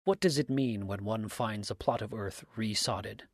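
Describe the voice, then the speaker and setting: A grave, unshakeable accuser from a storybook, read by a man. He is solemn and deliberate, with complete certainty, in a dry, close recording. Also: fairly low pitch, dry recording. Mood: stern, probing. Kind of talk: dramatic storybook reading.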